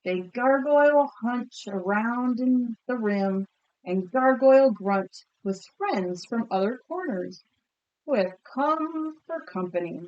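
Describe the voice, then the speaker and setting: A woman teacher reading a picture book aloud expressively and pausing to ask listeners questions. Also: a little high, expressive, clean tone, dull audio. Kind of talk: storybook read-aloud with comprehension questions.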